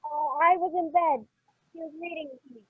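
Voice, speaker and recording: An actress plays an affectionate young girl, excited and high-pitched. It is old-time radio drama acting. The recording is thin and phone-like. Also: moderate pace. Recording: thin, phone-like band-limited sound.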